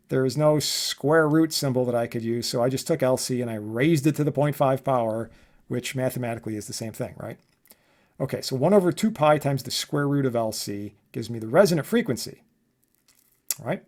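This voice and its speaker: A man giving an informal technical lecture as a professor, explaining step by step and often checking in with short tag questions. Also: mid-range voice. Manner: conversational, often adding check words like "right?" and "okay?". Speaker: electronics professor giving a lesson.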